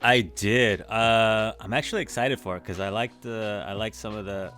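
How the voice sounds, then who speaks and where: A man co-hosting a podcast, giving his opinions in casual, animated talk. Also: fairly low pitch, moderate pace, soft background music. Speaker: podcast co-host. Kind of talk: casual chat.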